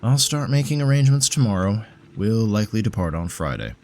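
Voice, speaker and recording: Man playing a measured, pedantic bookish scholar. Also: on the low side, very animated, darkish tone, a little hiss or hum, voice pushed up. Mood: worried, weary. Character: bookish, earnest male occult scholar, bespectacled, exasperated. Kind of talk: audio drama storytelling.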